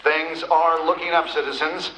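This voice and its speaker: An actor playing a polished, chipper radio news announcer in a video game. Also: male voice, on the high side, level tone, moderate pace, natural voice. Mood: upbeat. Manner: polished, chipper broadcast delivery. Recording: distant radio broadcast with faint noise.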